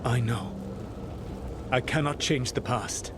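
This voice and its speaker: An actor playing a solemn, remorseful and proud ancient mage in a video game, speaking in measured, formal phrases. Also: male voice, mid-range voice, steady tone, noisy background, lightly acted. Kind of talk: dramatic video game cutscene acting.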